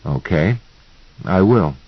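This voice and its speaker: A man's voice: deep voice, animated, slow pace, slightly rough, no echo, muffled recording, full character voice. A man voicing the earnest, uneasy male hero of an audiobook novel, questioning and tentative. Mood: puzzled.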